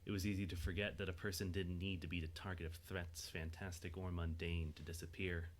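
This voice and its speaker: Low-pitched male story narrator. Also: level tone, brisk, slight background noise.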